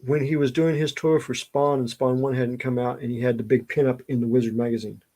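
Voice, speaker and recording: Gravelly middle-aged man, Southern US accent. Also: mid-range voice, flat delivery, a little fast, mellow. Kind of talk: casual chat, storytelling.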